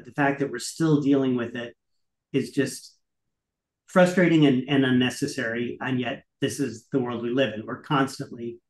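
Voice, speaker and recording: A middle-aged man, a guest commentator on a video call, frustrated but measured. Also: medium pitch. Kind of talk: political commentary chat. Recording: roomy video call audio.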